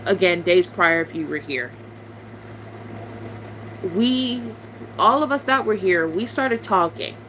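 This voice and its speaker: A woman's voice: lowish voice, lively intonation, slow, long pauses, fairly bright tone, slight background noise, poor audio. A young woman YouTuber, venting bluntly and sarcastically to her viewers in a casual chat.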